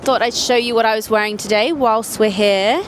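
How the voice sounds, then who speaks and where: A woman's voice: on the high side, moderate pace, slight background noise. Chatty young woman vlogging. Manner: chatty, upbeat, lots of "like" and "so". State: voice going, getting sick. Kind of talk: casual vlog talk to camera.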